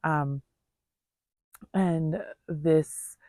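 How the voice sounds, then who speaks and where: A woman's voice: low voice, drawn-out words, mellow, no echo. A woman telling a personal story in a casual, earnest, conversational way, voicing reported dialogue as she goes. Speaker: storyteller vlogger.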